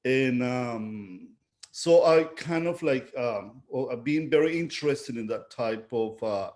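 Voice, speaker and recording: A man's voice: medium pitch, animated, slow, darkish tone. A middle-aged man from Venezuela with a Venezuelan Spanish accent, speaking reflectively as an artist in an interview and often searching for his words. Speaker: visual artist being interviewed. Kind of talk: unscripted interview talk, reflective.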